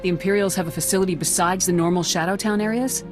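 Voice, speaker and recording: An actress playing a resolute, businesslike squad commander in a video game, firm and direct. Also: female voice, lowish voice, fairly even intonation, quick pace, music in the background, natural voice. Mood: determined. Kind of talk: video game dialogue acting.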